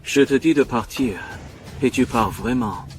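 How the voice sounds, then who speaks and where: A man voicing a cold, ruthless cat gang boss in a dubbed animated series, commanding and menacing. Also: medium pitch, measured pace, soft background music, close to an everyday voice. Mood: cold, threatening.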